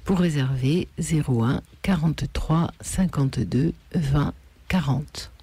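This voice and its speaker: A woman's voice: low voice, takes it slowly, mellow, slightly rough, dry recording. A woman giving unscripted announcements as a radio presenter. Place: radio studio. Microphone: studio microphone.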